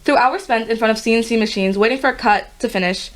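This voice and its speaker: A young woman from Guyana reads her college admissions essay in an earnest, steady voice. Her voice sits a little higher than most. She is a guest on a podcast. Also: fast talker. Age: young adult.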